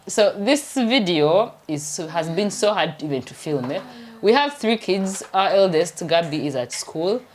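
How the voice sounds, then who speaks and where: A Ugandan woman in her thirties with a Ugandan accent, hosting a chatty, rambling home vlog. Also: low voice. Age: 30s. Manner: chatty, laughs often, rambling. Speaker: vlogger and doctor. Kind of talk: casual vlog chat.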